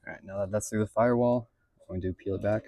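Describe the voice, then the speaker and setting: A man's voice: lowish voice, steady tone, quick pace, mellow, no room sound. A man casually narrating his hands-on car install as a vlog host, thinking aloud in a laid-back, slangy way. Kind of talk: casual narration while working on a vehicle. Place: in and around a pickup truck.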